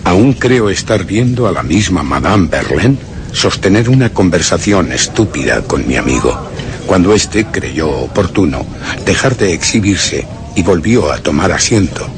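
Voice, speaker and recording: Deep-voiced, brooding, vengeful betrayed husband. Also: male voice, big pitch swings, crowd noise, full character voice. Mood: brooding hatred. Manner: brooding, theatrical, deliberate storytelling with contemptuous edge. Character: embittered, vengeful cuckolded Frenchman, secretly plotting murder. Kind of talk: dramatic first-person radio play monologue.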